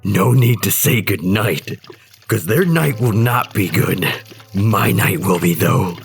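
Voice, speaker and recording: A man acting a low-voiced, menacing horror-story husband. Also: expressive, slow, creaky, faint music behind, heavily acted. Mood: sinister, devoted. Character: menacing, resentful husband obsessed with his gate to hell. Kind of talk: horror audio drama acting.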